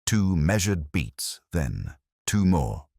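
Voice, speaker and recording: A man reading a dramatic story in a grave, measured storytelling manner. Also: low-pitched, sing-song, gravelly, darkish tone, dry recording, studio quality. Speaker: audiobook narrator. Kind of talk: scripted dramatic story narration.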